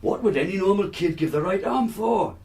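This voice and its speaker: A man playing a gruff working-class father who smokes, in a radio drama, with a Geordie accent. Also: high-pitched, lively intonation, brisk, a little mellow. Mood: insistent. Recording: distant from mic, faint room noise.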